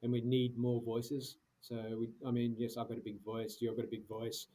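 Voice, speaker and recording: A man's voice: medium pitch, level tone, normal speed, warm tone. A middle-aged man, talking casually as a podcast guest, with many fillers and restarts. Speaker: guest, security executive.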